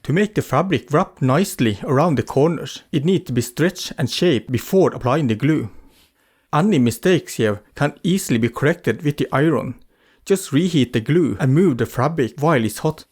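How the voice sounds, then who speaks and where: A man's voice: fairly high pitch, animated, moderate pace, a little mellow, breathy edge. A man giving an explanatory voice-over for a craft tutorial. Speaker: craft vlogger narrating a tutorial.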